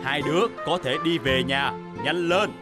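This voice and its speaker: A man's voice: fairly high pitch, normal speed, loud music behind the voice, natural voice. A man voicing a stern traffic authority in a children's cartoon dub, firm and reproachful with youngsters.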